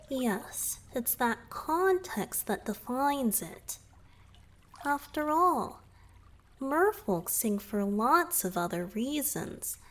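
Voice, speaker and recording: A woman playing a shy, flustered young merman boyfriend in a romantic ASMR roleplay, speaking hesitantly and earnestly to the listener. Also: medium pitch. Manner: hesitant, flustered, with sighs and murmured asides. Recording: background noise with trickling water.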